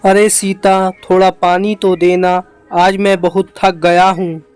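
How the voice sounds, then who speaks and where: A man's voice: high-pitched, flat delivery, slow pace, clean tone, faint music behind. A man voicing a tired, poor fisherman husband in a cartoon story, weary and pleading.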